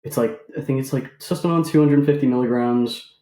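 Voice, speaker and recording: A man's voice: mid-range voice, a little fast, warm tone, echoey room. A twenty-six-year-old man from Ireland with a mixed Irish and English accent, speaking as a podcast guest in an easygoing, self-deprecating way with hesitant "um"s. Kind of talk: casual interview.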